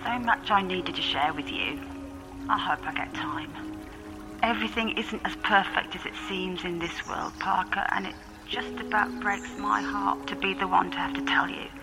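An actress plays an elderly grandmother in an audio drama, secretive and wary. She speaks in a hushed, deliberate, confiding way, as if leaving a private message. Music plays clearly behind her. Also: mid-range voice, natural voice, poor audio. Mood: confiding, regretful.

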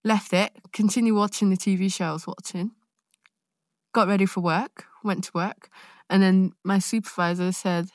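A woman with a London accent in casual conversation, telling a personal story in a candid, expressive way. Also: mid-range voice, moderate pace, no room sound, studio quality. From born in Nigeria, raised in London. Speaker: guest, actor.

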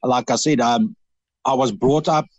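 A man's voice: mid-range voice, measured pace, no echo, lo-fi sound. A man from South Africa with a South African accent, calling in to a radio interview and talking earnestly, with frequent halts and restarts. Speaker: radio caller.

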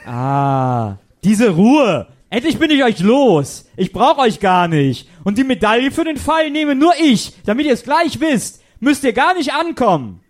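A man playing a know-it-all junior detective boy, huffy and excitable, in a comic radio play. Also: very high-pitched, measured pace, few pauses, heavily acted. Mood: huffy, cocky. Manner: excitable, cocky, self-talking.

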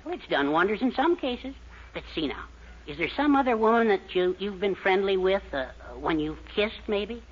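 A man playing a confident, scheming adviser in a 1940s radio comedy, with a husky voice. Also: high voice, expressive, normal speed. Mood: sly. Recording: dull old radio broadcast.

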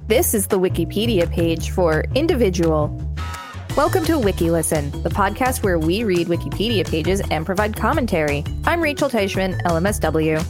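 Low-voiced woman reading aloud. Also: big pitch swings, moderate pace, music in the background. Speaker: podcast co-host reading aloud. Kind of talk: reading an article aloud with light commentary.

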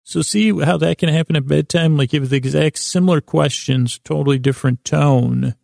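Male bedtime podcast host, strong vocal fry, dry close recording; halting, wandering delivery full of self-interruptions and repeated words. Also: on the high side, fairly even intonation, a little mellow, no echo. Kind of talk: rambling bedtime monologue to lull listeners to sleep.

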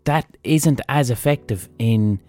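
A man's voice: medium pitch, takes it slowly, a little mellow, faint music behind. A man with an Irish accent hosting a podcast, talking bluntly in a casual monologue, swearing freely and thinking aloud as he goes. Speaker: podcast host.

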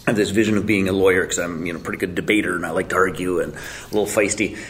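A man's voice: low voice, steady tone, rapid-fire, a bit bright, slight background noise. A man in his forties, a guest telling his story in a casual, blunt way, swearing freely and joking at his own expense. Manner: blunt, swearing, self-mocking, chuckling.

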